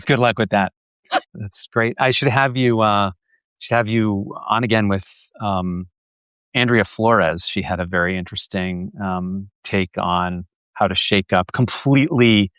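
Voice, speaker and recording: A man's voice: deep voice, fairly bright tone, clear, resonant tone, no room sound. A man hosting an interview podcast, friendly and affirming, putting questions to his guest and wrapping up. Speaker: podcast host.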